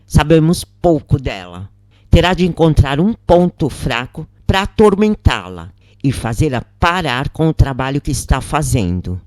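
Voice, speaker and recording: Narrator doing a boastful dark spirit boss guy from an audio story, bossy and emphatic, swoops around, slow. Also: medium pitch, sing-song, no room sound, clean studio sound. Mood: giving orders.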